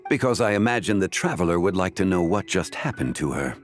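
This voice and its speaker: A man's voice: low-pitched, very animated, fairly quick, loud music behind the voice. An actor playing a composed, erudite, enigmatic man in a fantasy video game, speaking formally and eloquently. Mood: composed, knowing. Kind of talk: video game character dialogue.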